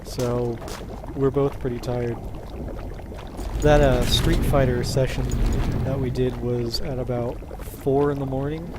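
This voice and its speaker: Low-key man narrating his gameplay. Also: takes it slowly, background noise. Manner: low-key, tired, near monotone. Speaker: let's play host. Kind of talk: casual gameplay commentary. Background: loud game music.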